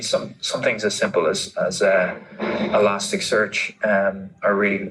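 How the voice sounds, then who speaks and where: A man's voice: fairly low pitch, fairly bright tone, low-quality recording. A man giving informal interview answers, thinking aloud and often repeating words as he explains. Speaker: interviewee, marketing manager.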